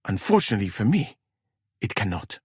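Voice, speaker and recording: Learned medieval traveler from mali, video game dialogue, lowish husky voice, formal n polite, measured, super dry sound. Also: male voice, very animated, a little mellow, dry recording, heavily acted. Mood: composed, courteous. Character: learned, courteous medieval man from Mali, well-travelled scholar.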